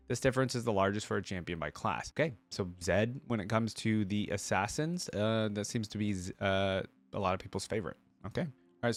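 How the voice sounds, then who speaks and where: A man's voice: lowish voice, no echo. A man hosting a reaction video, reading aloud and commenting casually in an informal, conversational way. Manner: informal, conversational asides between read-aloud passages.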